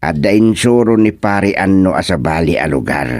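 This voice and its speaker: A man's voice: low voice, expressive, normal speed, a little mellow, dry recording, clean studio sound. An actor playing a stubborn, reassuring older fisherman father with an Ilocano accent in a radio drama comedy. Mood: casual, decided.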